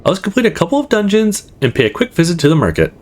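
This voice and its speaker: A man's voice: sits high, huge pitch swings, rapid-fire, a little mellow, pitched above the performer's own voice. A man voicing an eager, teasing young woman gamer in a visual novel, playfully insistent.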